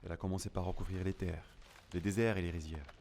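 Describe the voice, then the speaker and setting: A man's voice: sits low, steady tone, fast, darkish tone, a little hiss or hum. A man playing a lonely, grieving survivor of a plant apocalypse, narrating a first-person audio drama in a somber, melancholic, dramatic way. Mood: grave, ominous.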